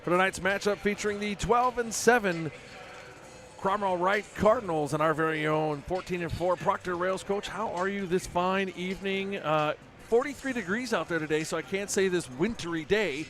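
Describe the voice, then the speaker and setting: A man hosting a local high school basketball broadcast, chatty and casual with many fillers. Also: normal speed, fairly bright tone. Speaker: play-by-play broadcaster.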